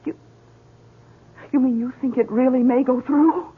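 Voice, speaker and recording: An actress playing a worried, uneasy wife in a 1970s radio drama, with an expressive, hesitant delivery. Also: female voice, sits high, a little slow, slight background noise. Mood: dismayed. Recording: muffled old radio broadcast.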